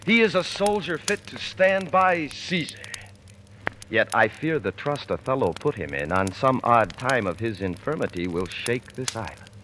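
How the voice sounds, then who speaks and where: An actor playing a scheming soldier who feigns hearty honesty, with insinuating, theatrical Shakespearean delivery in old-time radio style. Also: male voice, mid-range voice, big pitch swings, normal speed. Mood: sly concern. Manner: theatrical, insinuating, shifting from hushed to urgent. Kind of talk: 1940s radio acting of Shakespeare. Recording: noisy vintage radio recording.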